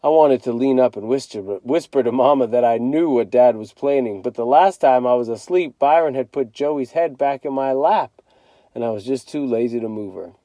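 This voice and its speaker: A man reading a children's novel aloud as a teacher would, in an expressive storytelling style. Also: medium pitch, normal speed, dry recording.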